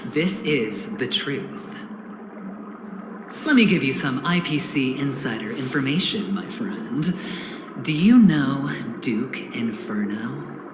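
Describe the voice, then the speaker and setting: An actor playing a suave, smug, scheming corporate insider in a video game, speaking theatrically with dramatic pauses. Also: male voice, medium pitch, lively intonation, reverberant, noisy background. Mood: smug, teasing. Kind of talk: video game cutscene dialogue.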